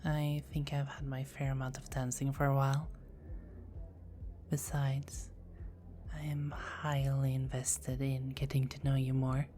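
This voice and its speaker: A woman's voice: rumbling low, flat delivery, measured pace, dark tone, faint music behind. Woman whispering as a teasing vampire girl. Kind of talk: ASMR roleplay.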